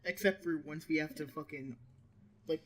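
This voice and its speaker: A man talking through a head cold, his nose stuffed up. He speaks in a laid-back way, swearing casually, with a voice pitched a little high for a man. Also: moderate pace. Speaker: podcast co-host. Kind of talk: casual chat among friends.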